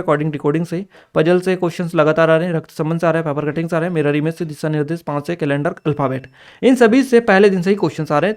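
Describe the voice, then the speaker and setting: Guy, pretty high voice, going through exam questions like a coaching teacher, lists stuff over and over. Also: smooth. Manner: instructional, repetitive listing with check-in tags. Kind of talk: exam paper analysis lecture, reading out questions and answers.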